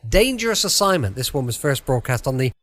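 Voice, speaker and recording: A man's voice: mid-range voice, big pitch swings, brisk, fairly bright tone, dry recording. A man with an English accent giving a casual, rambling, jokey introduction as a podcast host.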